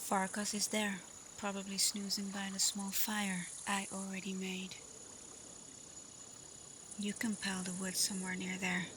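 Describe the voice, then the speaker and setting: A woman playing a caring, teasing female alpha werewolf in an intimate, softly spoken ASMR roleplay. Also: medium pitch, monotone, slight background noise, close to an everyday voice. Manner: playful, unhurried, soft, at times whispering. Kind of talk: ASMR roleplay, intimate acting addressed to listener.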